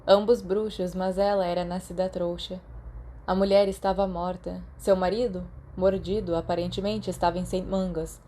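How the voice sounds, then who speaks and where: A woman's voice: fairly low pitch, little pitch movement, normal speed, dark tone. A woman with a Brazilian accent reading scripted fiction as an audiobook narrator.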